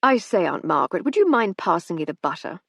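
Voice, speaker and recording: A woman's voice: lowish voice, big pitch swings, quick pace, vocal fry, slightly rough, dry recording, studio quality, exaggerated voice. A woman reading aloud voices a dreary young man in his twenties who speaks with arrogant impatience. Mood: impatient.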